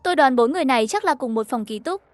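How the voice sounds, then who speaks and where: A woman's voice: high voice, lively intonation, racing, slight background noise. A woman reading a scripted story, voicing the narration and all the dialogue herself. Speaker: narrator of an animated horror story recap.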